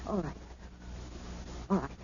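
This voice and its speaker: An actress playing a stern, worried sister in a 1970s radio drama, on a muffled vintage broadcast. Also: female voice, measured pace, mellow, a little hiss or hum, close to an everyday voice. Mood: firm, hushing. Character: stern, worried sister trying to keep control.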